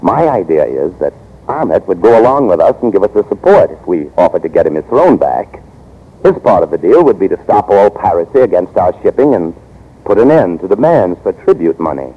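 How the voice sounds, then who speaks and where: An actor playing a bold, headstrong young American consul in old-time radio drama, cocky, forceful and plain-spoken, with an American accent. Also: male voice, low-pitched, sing-song, a little fast, breathy edge, full character voice. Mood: eager, insistent. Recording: dull vintage radio recording.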